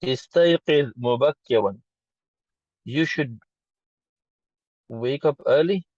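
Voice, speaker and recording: A male language student reads aloud and translates, tentative and halting, repeating words as he works them out. His voice sits at an average pitch, in a dry but muffled, low-fidelity recording. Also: a little mellow, dry recording. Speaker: student of Arabic. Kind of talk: reading aloud and translating in a lesson.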